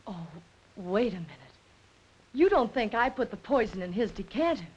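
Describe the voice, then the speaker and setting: A woman's voice: fairly high pitch, sing-song, normal speed, slightly rough. An actress playing a composed, wary young woman under questioning in a 1940s crime film, cool and direct. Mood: guarded. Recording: muffled 1940s film soundtrack.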